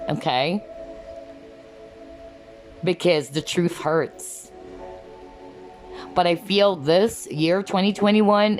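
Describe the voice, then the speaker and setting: Low-pitched lady doing a tarot reading, voice getting hoarse, soft music behind. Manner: earnest, repeats "okay?" to viewers. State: losing her voice. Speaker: tarot card reader. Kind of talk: pick-a-card reading to camera.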